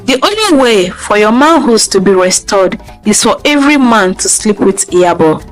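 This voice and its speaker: A woman's voice: medium pitch, big pitch swings, normal speed, faint music behind, close to an everyday voice. A woman voicing an authoritative male village juju priest in a folktale, proclaiming solemnly.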